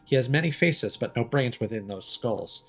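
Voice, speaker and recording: A man's voice: medium pitch, animated, moderate pace. A man reading the written musings of a cruel masked villain in a dramatic manner, over background noise. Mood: brooding menace. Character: cruel masked villain with lost memory, read from a note.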